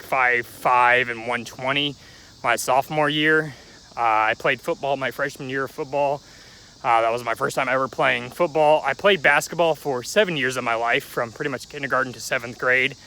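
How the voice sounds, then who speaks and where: A man's voice: medium pitch, fairly even intonation, moderate pace, bright, forceful tone, a little hiss or hum, poor audio. A young man telling his life story in a rambling, chatty vlog, recorded outdoors near a railway. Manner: rambling, chatty, joking aside at passing trains. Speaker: vlogger.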